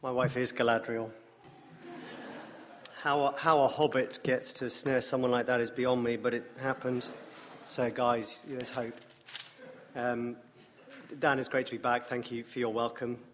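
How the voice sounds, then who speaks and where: An English man preaching as a guest speaker, with a wry, self-deprecating humor and a voice deeper than usual from a morning rasp. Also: little pitch movement, measured pace, long pauses, slight background noise. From England. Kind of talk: sermon with dry jokes. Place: church sanctuary. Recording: long church reverb.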